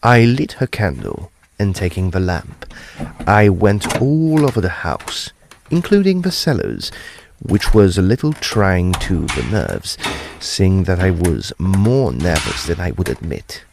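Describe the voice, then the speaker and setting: A man's voice: deep voice, sing-song, measured pace, gravelly, background noise, heavily acted. A male actor as an uneasy, well-mannered son telling a ghost story in an audio drama. His delivery is formal and old-fashioned, with dramatic, wildly varied intonation. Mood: reassuring. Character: polite, uneasy adult son recounting a haunting.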